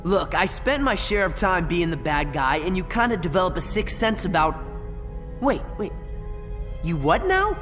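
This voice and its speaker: A man playing a prickly, streetwise teenage boy in an animated game cutscene, blunt and sarcastic in manner. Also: high-pitched, normal speed. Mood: wry. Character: cocky, prickly teenage boy, streetwise former bad guy. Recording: music behind the voice.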